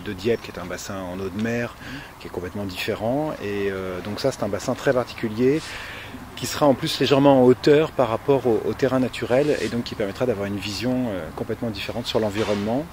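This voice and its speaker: A man's voice: fairly low pitch, noisy background. A man speaking spontaneously as an architect, explaining his project step by step.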